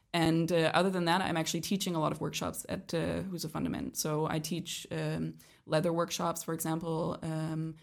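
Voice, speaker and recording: Young woman guest raised in Canada, lowish gravelly voice, hesitant casual chat. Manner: hesitant, many ums and restarts. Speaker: guest, project leader.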